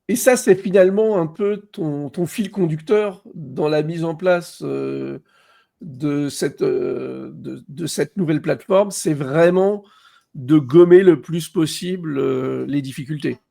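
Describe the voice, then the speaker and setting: A man hosting a casual live stream interview, speaking in a hesitant, halting way. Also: fairly high pitch, gravelly, mellow. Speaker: host of a live show.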